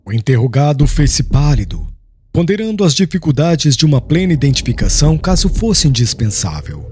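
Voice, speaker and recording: A man's voice: fairly high pitch, big pitch swings, warm tone, a little hiss or hum. A man narrating an audiobook in a solemn, formal, dramatic literary style. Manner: solemn, formal, measured phrasing. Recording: clear music bed under voice.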